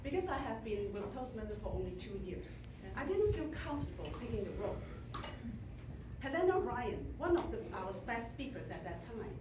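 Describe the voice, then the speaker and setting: A middle-aged woman with a Chinese accent gives a measured, self-deprecating prepared speech to her club as outgoing president. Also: a little high, sing-song, noisy background. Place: meeting room with audience. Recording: echoey room from a distance.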